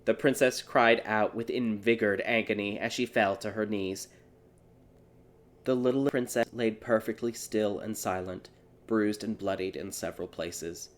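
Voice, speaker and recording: Low-voiced man narrating a dark tale. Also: steady tone, normal speed. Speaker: audiobook narrator. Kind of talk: dramatic scripted fairy tale narration. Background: music.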